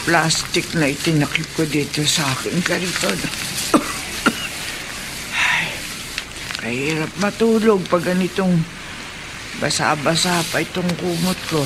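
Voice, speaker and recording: An actress playing a sick, despairing older homeless aunt in a radio drama, halting and plaintive, with sighs. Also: female voice, low-pitched. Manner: halting, plaintive, sighing delivery. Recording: noisy street-like ambience behind voice.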